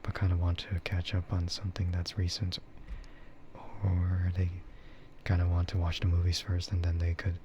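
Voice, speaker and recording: A man chatting casually in a soft, half-whispered ASMR style, rambling and hesitant as he streams close to the microphone. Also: low voice, completely flat delivery, moderate pace, lo-fi sound, slight background noise. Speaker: VTuber streamer.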